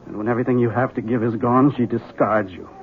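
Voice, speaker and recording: A man's voice: medium pitch, quick pace, close to an everyday voice. Man acting a bitter, obsessed husband, tinny sound. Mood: brooding. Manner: sardonic, intense. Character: obsessed, bitter husband convinced his wife is evil. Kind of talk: 1970s radio drama acting. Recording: tinny, narrow old radio recording.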